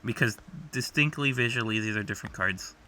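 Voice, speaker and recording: A man's voice: lowish voice, a little fast, bright, forceful tone, a little hiss or hum, poor audio. A young adult man co-hosting a podcast in casual, argumentative banter, swearing freely as he talks. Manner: profane, argumentative. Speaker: podcast co-host.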